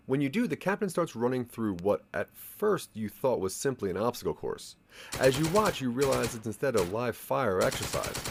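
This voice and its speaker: A man's voice: fairly low pitch, a little hiss or hum. A man narrating a scripted audio drama story, addressing the listener as its main character. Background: music.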